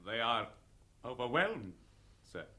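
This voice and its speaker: A man's voice: medium pitch, sing-song, takes it slowly, pushed and bright, heavily acted. A man playing a deferential, anxious ship's officer with formal speech in a 1960s radio drama. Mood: concerned. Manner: formal, respectful.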